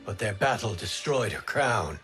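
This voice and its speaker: A man's voice: lowish voice, lively intonation, measured pace. A man playing an earnest, reasonable wind god in a video game, speaking formally and urgently. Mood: pleading, urgent. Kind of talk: video game cutscene acting. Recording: loud music behind the voice.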